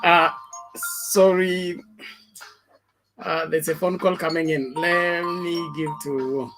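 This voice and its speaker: A man's voice: a little high, flat delivery, slow pace, over music, low-quality recording. A man moderating a live discussion, handing out turns to speakers. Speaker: debate moderator.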